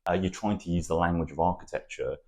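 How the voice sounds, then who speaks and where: Very deep-voiced Welsh-born man, reflective. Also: fairly even intonation, quick pace, a little mellow. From Wales. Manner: thoughtful, poetic, with frequent ums and restarts. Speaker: architect. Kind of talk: reflective interview answers.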